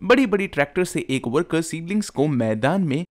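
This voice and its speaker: A man's voice: fairly high pitch, animated, brisk. A man narrating a factory documentary as a scripted voice-over, explaining step by step and calling the viewers his friends. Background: soft background music.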